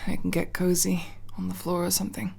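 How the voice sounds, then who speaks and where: A woman playing an awkward, tired but fond tomboy friend in an intimate ASMR roleplay, speaking in a halting, hesitant, casual mumble. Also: lowish voice, completely flat delivery, gravelly, mellow, slightly rough, heavily acted. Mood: sleepy, tender.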